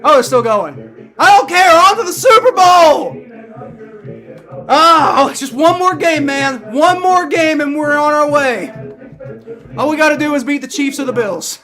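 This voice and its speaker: A young man hosting a live sports fan stream, shouting and cheering with a voice strained from yelling, swearing freely. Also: very high-pitched, unhurried, soft background music. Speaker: sports fan livestream host. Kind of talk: excited live fan reaction.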